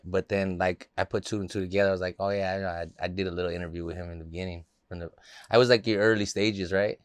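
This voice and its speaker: A man's voice: low voice, level tone, quick pace, smooth, no echo. A man from the Los Angeles area, a guest in a casual interview, telling stories in a loose, laid-back way with plenty of fillers.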